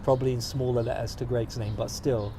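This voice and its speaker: A young British man speaks as an interview guest, articulate and enthusiastic with a dry, wry edge. His voice sits at an ordinary pitch for a man. The recording carries clear traffic noise behind him. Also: fairly even intonation, a little fast. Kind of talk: casual interview conversation. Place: studio in front of cameras.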